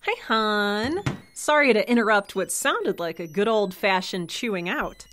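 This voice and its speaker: A woman playing a warm, unflappable CIA officer in an audio drama, breezy and assured. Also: medium pitch, lively intonation, unhurried.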